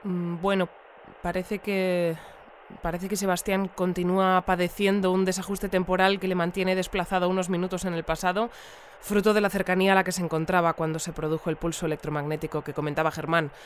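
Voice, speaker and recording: A woman playing a composed presenter of a futuristic community radio bulletin, speaking in a formal, measured newsreader manner. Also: on the low side, flat delivery, a little hiss or hum, natural voice. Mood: awkward, stalling. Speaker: news presenter. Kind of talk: scripted radio news bulletin.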